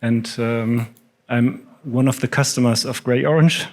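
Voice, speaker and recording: A man's voice: mid-range voice, steady tone, a little slow, darkish tone. German guy giving a conference talk, thick accent, lots of ums. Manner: hesitant, many fillers. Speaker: conference speaker. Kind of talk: stage presentation.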